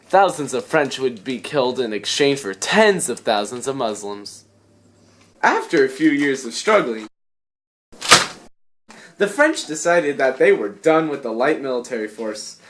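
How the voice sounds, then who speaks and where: A man's voice: medium pitch, expressive, unhurried, slight background noise. A young man narrating a scripted student history puppet show, with wry, theatrical asides. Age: young adult.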